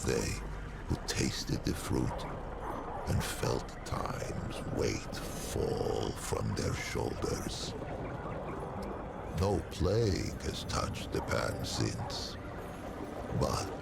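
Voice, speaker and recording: A man playing an ancient, learned non-human storyteller in a video game, narrating old lore in a stately, solemn, wry manner with archaic phrasing and deliberate pauses. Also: very noisy, full character voice. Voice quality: very deep, gravelly. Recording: music behind the voice. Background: prominent background music.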